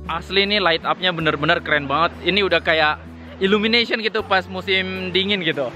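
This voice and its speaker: A young man with a fairly high voice chats casually to a handheld camera outdoors. He is enthusiastic and exclaims often, like a travel vlogger. Music plays clearly behind him. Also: brisk, pushed and bright. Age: young adult. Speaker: vlogger, host. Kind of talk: casual travel vlog chat. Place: outdoors at night in a hot spring town. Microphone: handheld camera at arm's length.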